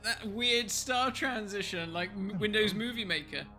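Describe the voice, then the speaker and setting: High-pitched man, jokey commentary. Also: normal speed, music in the background. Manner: jokey, sardonic. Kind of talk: casual film commentary.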